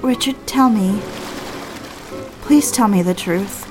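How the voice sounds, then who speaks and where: A woman playing a romantic, probing newlywed wife in a period audio drama, earnest and gently pleading as she questions. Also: medium pitch, takes it slowly, music in the background, close to an everyday voice.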